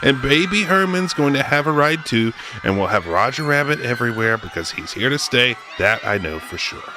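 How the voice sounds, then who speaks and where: A man playing a boastful, excitable company boss given to wistful self-pity, theatrical and rambling in a comedic radio drama. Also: mid-range voice, normal speed, noisy background, close to an everyday voice. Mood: boastful, excited.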